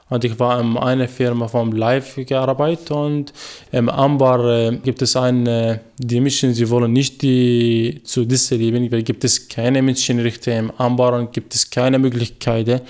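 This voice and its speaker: Young Iraqi man in halting German. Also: mid-range voice, a little mellow. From Iraq. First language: Arabic. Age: 20s. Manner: halting learner's German with many fillers and restarts. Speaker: guest, former journalist. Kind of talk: radio interview.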